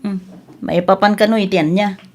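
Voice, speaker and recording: A woman's voice: medium pitch, steady tone, normal speed, a little mellow, natural voice. A woman acting a brash, money-minded, stubborn vlogger in an Ilocano radio comedy drama, exclamatory and dismissive. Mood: flippant.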